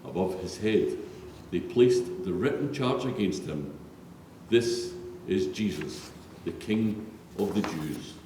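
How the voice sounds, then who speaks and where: A man narrates a solemn scripture reading. His voice is mellow and sits fairly low. He is heard from a distance in a very echoey church, with faint noise. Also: animated, takes it slowly. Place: large echoey church interior.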